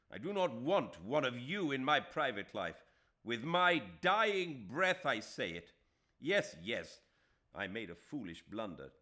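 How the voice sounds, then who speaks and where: A man's voice: medium pitch, sharp tone, voice pushed up, exaggerated voice. A man voicing a proud, wounded Indian man who bursts into bitter, impassioned declarations in an audiobook.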